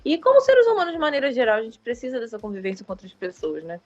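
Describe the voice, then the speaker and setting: A woman's voice: on the high side, wildly swooping pitch, quick pace, lo-fi sound, soft background music. A woman in her thirties from Rio de Janeiro with a Carioca accent, talking casually as an interview guest and often correcting herself. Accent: Carioca Brazilian Portuguese. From Rio de Janeiro, Brazil. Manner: rambling, many fillers and self-corrections. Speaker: guest writer.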